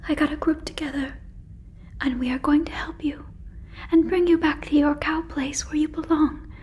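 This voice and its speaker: A woman voicing an earnest, protective alien in an ASMR roleplay, whispering breathily close to a microphone with halting, stilted phrasing. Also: sits high, steady tone, darkish tone, lightly acted. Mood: earnest, indignant. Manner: halting, stilted phrasing like a learner of human words. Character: earnest female alien, protective, gently convinced listener is a cow. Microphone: fluffy microphone, very close.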